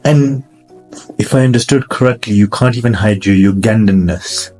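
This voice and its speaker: Low-voiced male interviewer. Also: normal speed, darkish tone, soft background music. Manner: attentive, frequent affirming murmurs. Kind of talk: interview questions.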